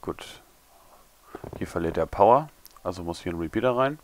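A young man, a little hungover and hoarse, mumbling and thinking aloud as he builds in a game tutorial. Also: sits low, expressive, moderate pace, slight background noise. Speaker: gaming tutorial creator. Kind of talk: casual commentary while building.

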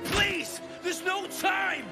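A man playing a panicked fighter in a fantasy game, shouting urgently and desperately. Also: very high-pitched, very slow, gravelly, over loud music, exaggerated voice. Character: panicked male fighter fleeing goblins. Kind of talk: cinematic fantasy game acting.

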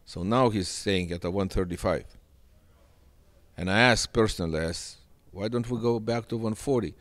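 Man, middle-aged, English, very low voice, emphatic, repeats himself, handheld mic. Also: normal speed. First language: Russian. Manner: earnest, emphatic, repeats phrases. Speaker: boxing manager. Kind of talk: sit-down interview. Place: indoor studio set with sofa.

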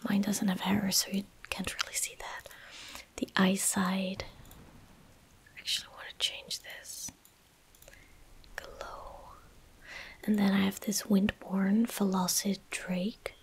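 Female ASMR gamer, twenties: whispered, very breathy delivery, gentle and unhurried, close-miked. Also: mid-range voice, level tone. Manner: slow, unhurried, gentle murmuring. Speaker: ASMR streamer. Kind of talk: soft-spoken ASMR game commentary. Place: small indoor room at a desk. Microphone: close desk microphone.

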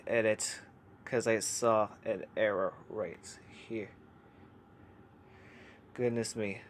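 Young male creator, casual comment-reading vlog, hushed delivery, chatty and halting. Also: on the low side, fairly even intonation, a little hiss or hum. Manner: chatty, hesitant, many fillers and restarts; kept quiet so family can sleep. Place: home laundry room.

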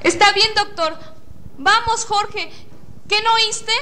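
A woman's voice: very high voice, slow pace, pushed and bright, full character voice. A woman playing an anxious, indignant, overprotective mother in an agitated, theatrical student radio drama. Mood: anxious, pleading. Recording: faint noise, amateur classroom radio recording.

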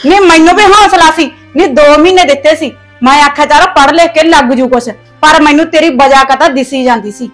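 A woman's voice: sits high, fairly quick, hardly any pauses, fairly bright tone, soft background music. A woman playing a harsh, taunting mother-in-law who scolds with sarcasm and folk sayings. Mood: scolding. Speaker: actor in a family skit. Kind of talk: domestic comedy-drama skit.

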